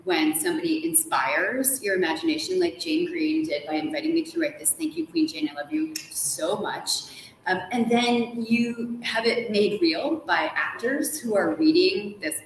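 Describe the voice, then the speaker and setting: A woman's voice: mid-range voice, lively intonation, normal speed. A woman in her forties, an enthusiastic interview guest, telling stories casually with a playfully dramatic flair. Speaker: guest author. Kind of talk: casual animated storytelling in a live interview. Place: large ornate hotel room, indoors. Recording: echoey room with faint background noise.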